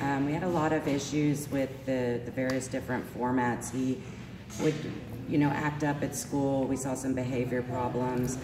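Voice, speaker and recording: A woman, stuffy from allergies and a little sore-voiced, giving an earnest parent testimonial with many ums. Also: a bass voice. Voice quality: slightly sore voice. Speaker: parent speaking at a school event. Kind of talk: personal testimonial speech. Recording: echoey hall, speaker far from mic, noisy.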